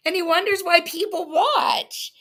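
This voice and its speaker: A woman's voice: extremely high pitch, steady tone, unhurried, fairly bright tone. A woman in her fifties speaking on a livestream, defiant and sarcastic, breaking into laughs. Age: 50s. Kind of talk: casual livestream chat.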